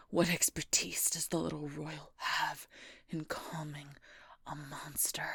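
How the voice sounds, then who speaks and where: Female werewolf knight played by a woman, gruff and hurting, audio roleplay. Heavily breathy, lowish, slow strained delivery. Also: fairly bright tone, no room sound, studio quality, full character voice. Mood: wry, menacing. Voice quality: breathy, husky, often whispering. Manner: halting, groaning, strained through pain. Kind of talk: intimate audio roleplay acting.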